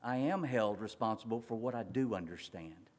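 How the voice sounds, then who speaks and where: A man preaching a sermon, swinging between loud urgent passages and quiet slow ones, drawing out words for emphasis. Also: lowish voice, animated. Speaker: pastor. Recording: long reverb of a large hall.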